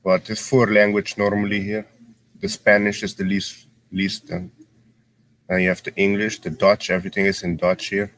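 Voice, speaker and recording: A man's voice: fairly low pitch, little pitch movement, normal speed, a bit bright, a little hiss or hum, low-quality recording. A man from Aruba with an Aruban accent, Papiamento his first language, explaining things unhurriedly in casual chat. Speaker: live-stream viewer.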